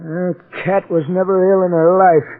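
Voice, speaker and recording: A man's voice: high-pitched, level tone, normal speed. An actor playing a sick, bitter, hot-tempered man in an old-time radio drama, querulous and indignant. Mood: bitter, suspicious. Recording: muffled, phone-like old radio recording.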